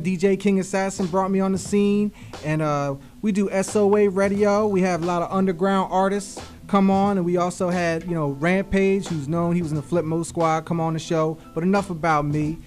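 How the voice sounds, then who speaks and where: A man's voice: high voice, fairly even intonation, normal speed, hardly any pauses, a little mellow, soft background music. A young American man hosting a casual hip hop radio interview in slangy, conversational talk, close on a studio microphone. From West Philadelphia, United States. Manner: slangy, frequent 'you know what I'm saying'. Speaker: guest radio host. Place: small radio studio, indoors. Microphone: close studio microphone on arm, headphones.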